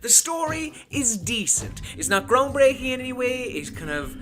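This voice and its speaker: A man's voice: extremely high pitch, sing-song, normal speed. A man giving a casual, rambling game review, with frequent restarts. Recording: music playing behind.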